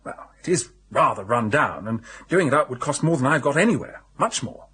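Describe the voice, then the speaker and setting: Glum, animated young English writer. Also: male voice, medium pitch, a little fast, breathy edge, exaggerated voice. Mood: rueful, frank. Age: young adult. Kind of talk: radio drama acting.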